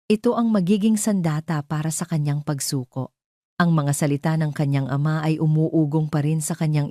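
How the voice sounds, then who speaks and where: A woman's voice: sits low, dark tone, no room sound, clean studio sound. A woman reading scripted narration for an audio drama.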